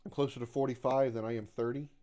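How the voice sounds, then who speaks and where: A man in his mid-thirties streams a game and talks casually to his viewers in a voice of ordinary, middle pitch. He sounds glum and runs himself down, circling back over the same points. He trips over his words again and again. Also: steady tone, fast, dark tone. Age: 30s. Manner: rambling and repetitive, stumbles over words; glum and self-deprecating. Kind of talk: casual livestream chat with viewers.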